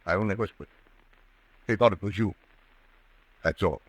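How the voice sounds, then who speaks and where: A man's voice: deep voice, moderate pace, husky, dry recording, low-quality recording. An actor playing a tense, emphatic man caught up in a murder-mystery showdown, in 1940s radio style. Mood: confessing. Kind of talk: 1940s radio drama acting.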